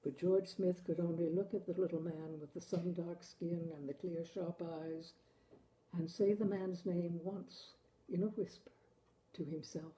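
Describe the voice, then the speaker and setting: A woman reading a literary short story aloud with expressive, dramatic delivery. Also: fairly low pitch, measured pace, poor audio. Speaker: story reader, show host. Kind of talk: literary audiobook-style story narration.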